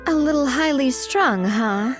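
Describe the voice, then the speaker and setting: Young female game companion, high-pitched, animated, friendly, confident, casual, faint music behind. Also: measured pace. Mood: friendly, breezy. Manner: casual, explanatory, expressive. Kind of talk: animated video game voice acting.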